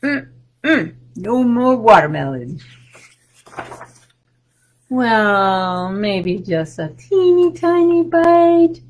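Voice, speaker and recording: High, panicky storybook crocodile, small and fussy; a woman reads it aloud. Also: very animated, extremely slow, pauses often, a little hiss or hum, exaggerated voice. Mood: relieved.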